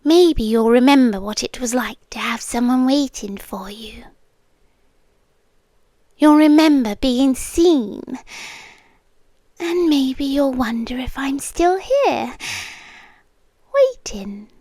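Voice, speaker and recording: A woman playing an ancient, possessive female monster in an ASMR roleplay, with a British accent and a hushed, teasing delivery that often drops into whispers. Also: sits high, animated, takes it slowly, long pauses, no room sound. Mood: patient, possessive. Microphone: close microphone.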